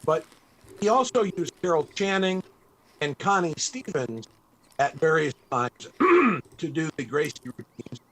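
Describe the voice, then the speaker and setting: Male interviewer, somewhat high voice, feels his way gently into each question. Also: a little slow, long pauses, low-quality recording. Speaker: interviewer, panel host. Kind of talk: conversational interviewing. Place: video call.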